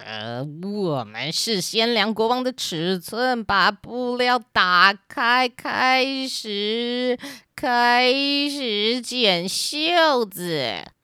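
A woman's voice: fairly high pitch, expressive, extremely slow, few pauses, sharp tone, clean tone, no room sound, clean studio sound, exaggerated voice. A woman voicing a shifty swindler posing as a tailor, hesitant and evasive, dragging out words. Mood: nervous, bluffing.

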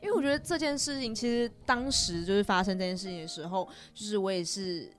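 A woman's voice: mid-range voice, quick pace, faint music behind. A young woman hosts a casual chat, attentively prompting and echoing the other speaker. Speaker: podcast host. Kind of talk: casual chat, asking and responding.

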